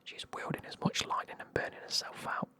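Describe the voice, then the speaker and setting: A speaker, whispering a casual, rambling recap of a fantasy novel full of fillers. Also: a little fast. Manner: soft whisper, rambling, frequent fillers and swearing. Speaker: book reviewer. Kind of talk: whispered ASMR book recap.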